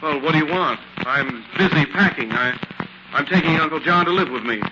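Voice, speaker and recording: An actor playing an irritable, evasive nephew, testy and defensive, in 1940s radio drama style. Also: male voice, a little high, fairly quick, close to an everyday voice. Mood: irritated. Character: irritable, evasive nephew, adult man guarding his privacy. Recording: noisy, muffled 1940s radio recording with clicks.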